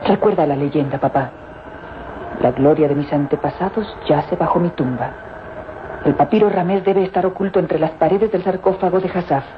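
Woman acting low-voiced, composed daughter in radio serial. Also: lightly acted. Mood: grave, thoughtful. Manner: deliberate, formal theatrical diction. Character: composed, respectful adult daughter assisting her scientist father. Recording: very noisy, dull old radio drama recording.

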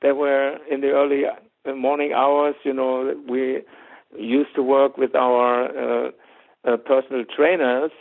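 A man's voice: mid-range voice, little pitch movement, slow pace, gravelly. A man with a German accent, a rock singer answering interview questions in a rambling way, with many fillers and restarts and easy laughter. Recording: tinny phone-line audio.

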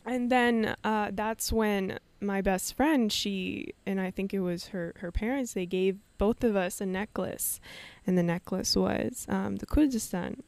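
A woman's voice: mid-range voice, a little slow, a little mellow, no room sound. A young woman hosting a solo podcast, telling a personal story in an emotional, meandering way and laughing often. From Canada. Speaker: podcast host.

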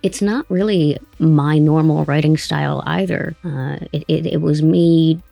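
A woman's voice: deep voice, steady tone, unhurried, gravelly, dark tone, no echo, faint music behind. A woman interviewing a guest in a casual chat, with an agreeable, encouraging manner. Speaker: interviewer, writer of an audio drama.

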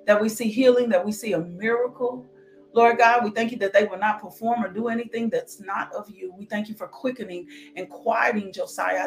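A woman preaching with fervor, her declarations repeated again and again. She breaks into sudden high whoops, then sinks to near-whispered prayer. Faint music plays behind her. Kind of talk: fervent sermon with altar call and blessing.

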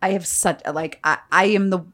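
A woman in her forties hosting a podcast, joking and talking in quick bursts with lots of restarts. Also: medium pitch. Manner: animated, jokey, trailing restarts. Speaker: podcast host. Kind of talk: casual comedic chat.

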